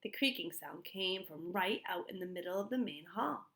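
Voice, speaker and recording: A woman reading a children's book aloud in an expressive storytelling style. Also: on the low side. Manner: pauses to put questions to young listeners. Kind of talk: expressive read-aloud narration.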